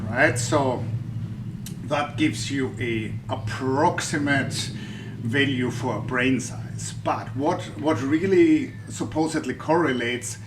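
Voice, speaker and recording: A man's voice: medium pitch, background noise. A man with a German accent explains a scientific debate to a general audience in a chatty, thinking-aloud way, often restarting his sentences. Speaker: science YouTuber. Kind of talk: explaining research for the general public.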